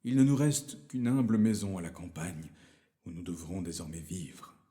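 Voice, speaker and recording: A man's voice: low-pitched, normal speed, mellow, lightly acted. A man voicing a humble, earnest merchant father fallen on hard times in a fairy tale. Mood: sad, solemn. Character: ruined merchant father, tender, sad, humble older man.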